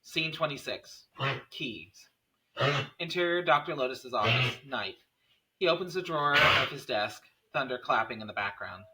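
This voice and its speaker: A man's voice: medium pitch, lively intonation, normal speed, background noise. A man reading screenplay stage directions as the narrator of a table read.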